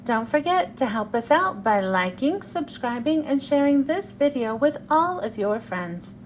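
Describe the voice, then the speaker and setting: A woman's voice: high-pitched, big pitch swings, a little slow, slight background noise. A woman hosting a read-aloud and reading a children's storybook to young listeners.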